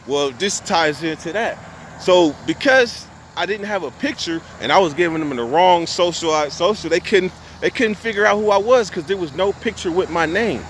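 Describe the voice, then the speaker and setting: A man's voice: high-pitched, moderate pace, a bit bright, slight background noise. A young man telling a long story to his viewers in casual street slang, cussing and restarting his sentences often. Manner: profane, rambling, street slang; restarts and repeats himself often.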